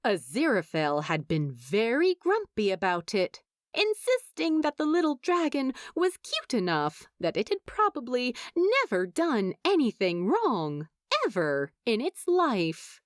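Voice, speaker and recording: A woman narrating scripted fan fiction as an expressive storyteller. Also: on the high side, measured pace, no room sound, studio quality.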